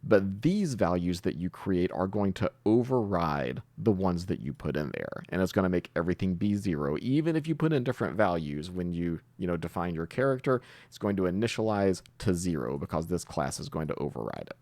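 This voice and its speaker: A man giving a casual screencast coding tutorial, talking haltingly as he thinks aloud while typing. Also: deep voice, expressive, darkish tone, no room sound. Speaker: programming tutorial host.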